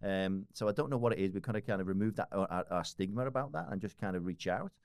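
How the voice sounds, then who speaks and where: Liverpool man, forties, podcast guest; very low, quick, rambling with restarts, dry close sound. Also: darkish tone, clean tone, no echo. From Liverpool, England. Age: 40s. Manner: rambling, many restarts and fillers, swears casually. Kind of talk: casual podcast conversation.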